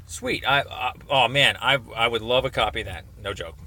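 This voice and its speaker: A man's voice: medium pitch, normal speed, bright, forceful tone. A man talking informally on a phone call as a caller, explaining things in a hesitant, rambling way. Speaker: caller making a complaint. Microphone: recorded phone call.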